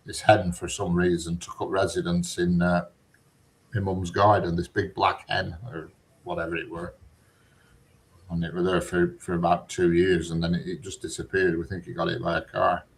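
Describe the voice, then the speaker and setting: Deep-voiced chatty man. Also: flat delivery, normal speed, creaky. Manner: rambling, chatty, dialect-heavy, cheeky. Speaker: conversation partner. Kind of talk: casual chat.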